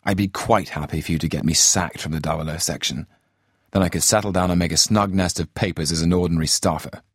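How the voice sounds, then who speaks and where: A weary, hardened secret agent speaks in clipped, sardonic lines, as a male narrator plays him. His voice is deep and husky, and it sounds close and dry. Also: fairly even intonation, fast, no room sound.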